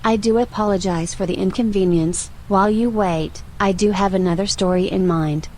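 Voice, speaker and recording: A woman voicing a polite, watchful archive AI in an audio roleplay, speaking in a formal, measured, robotic manner. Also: medium pitch, fairly even intonation, a little mellow, a little hiss or hum, lightly acted, poor audio. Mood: polite, detached. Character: female-voiced archive AI, polite, composed, coolly watchful.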